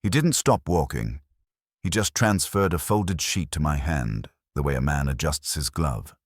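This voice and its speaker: Male narrator for detective fiction: extremely low, husky voice, lively intonation. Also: a little slow, no room sound, clean studio sound. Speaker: audiobook narrator. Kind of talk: scripted first-person detective story narration.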